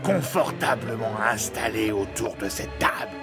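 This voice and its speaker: Creaky, genial old donkey. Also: male voice, on the low side, lively intonation, measured pace, over music. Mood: leading, excited. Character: genial old donkey, proud singer leading his friends. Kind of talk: children's cartoon dub acting.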